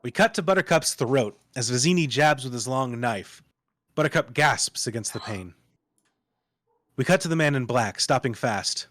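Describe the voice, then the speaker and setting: A man's voice: medium pitch, lively intonation, moderate pace, fairly bright tone, dry recording, studio quality. A man working as narrator, reading out scripted stage directions for a screenplay table read.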